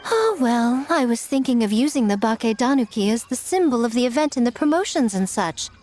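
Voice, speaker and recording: A woman's voice: a little high, expressive, brisk, a little mellow, soft background music, close to an everyday voice. A woman voicing a sly, playful young kitsune in a video game, polite and sweetly teasing. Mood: slyly goading. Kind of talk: animated video game voice acting.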